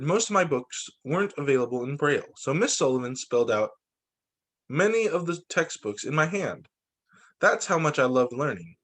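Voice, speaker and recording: A man reading a picture book aloud to children as a teacher, in an expressive, dramatizing way. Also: fairly high pitch. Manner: expressive, dramatizing quoted lines.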